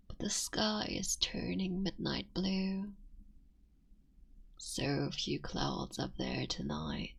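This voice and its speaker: A woman voicing a tender, motherly female fox-spirit witch in an ASMR roleplay, speaking softly and often whispering. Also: mid-range voice, gravelly, slightly rough, exaggerated voice. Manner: soft and intimate, often whispering.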